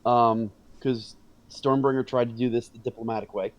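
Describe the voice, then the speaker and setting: A man's voice: mid-range voice, fairly even intonation, moderate pace. A man chatting as a player in a tabletop role-playing game, hesitant and full of restarts. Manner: hesitant, restarts, apologetic about rules. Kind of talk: casual tabletop game chat.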